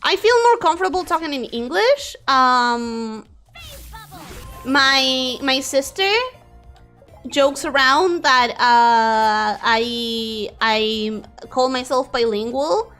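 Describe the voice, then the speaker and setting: A woman's voice: sits high, lively intonation, takes it slowly, fairly bright tone, faint music behind. A young woman whose first language is Spanish, chatting casually while streaming a game and reacting to chat.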